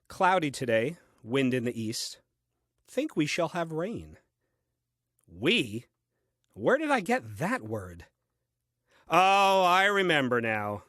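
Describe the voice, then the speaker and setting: A man's voice: medium pitch, fairly bright tone, no room sound, studio quality. An actor playing a grumpy, puzzled first man who likes solitude, giving clipped, put-upon diary entries in an audio play.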